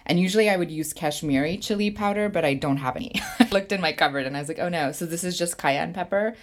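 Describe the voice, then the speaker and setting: A woman's voice: lowish voice, fast. A middle-aged woman from Canada, born in Sri Lanka, talking casually as an interview guest and explaining things in an informal way. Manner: informal, explanatory, frequent 'like' hedging. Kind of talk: casual chat and cooking walkthrough.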